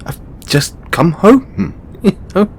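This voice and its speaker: A man playing an affectionate, stressed god and boyfriend in an intimate audio roleplay, speaking in a halting, softly playful way. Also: sits high, animated, creaky, a little hiss or hum, heavily acted. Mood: defensive, flustered.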